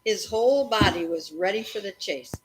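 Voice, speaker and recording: A woman reading a children's story aloud expressively, in an animated way meant to engage a young listener. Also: medium pitch, normal speed, slight background noise, poor audio.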